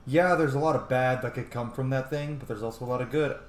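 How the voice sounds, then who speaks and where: A man's voice: mid-range voice, quick pace. Casual male gamer in a roomy space. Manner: musing aloud, informal. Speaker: gaming YouTuber. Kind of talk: casual video game playthrough commentary. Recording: roomy echo, game music behind. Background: video game music.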